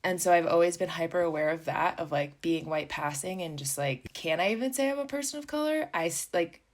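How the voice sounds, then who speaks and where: Podcast guest: young American woman, low-pitched, candid and reflective, heavy on "like" fillers. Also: expressive, fairly quick. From United States. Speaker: professional skier, guest. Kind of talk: casual interview talk.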